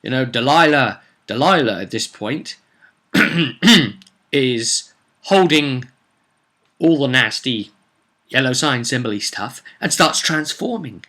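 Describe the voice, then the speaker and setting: A man's voice: mid-range voice, lively intonation, takes it slowly, bright, forceful tone. A man telling the story of a tabletop roleplay session as game master, in a rambling, conversational way with repeated phrases.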